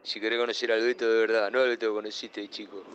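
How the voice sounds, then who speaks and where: A man's voice: fairly low pitch, flat delivery, quick pace, fairly bright tone, dull audio, a little hiss or hum, close to an everyday voice. A man playing a boastful, suggestive middle-aged flirt in a comic radio play, with an Argentine accent. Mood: cocky, seductive. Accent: Argentine, Rioplatense. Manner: boastful, suggestive, talks of himself in third person.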